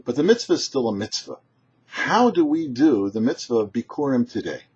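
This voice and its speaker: A man, a rabbi hosting a short religious teaching, speaking emphatically and deliberately with dramatic pauses. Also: medium pitch, sing-song, darkish tone, breathy edge. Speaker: rabbi, show host. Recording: muffled, dull lo-fi sound.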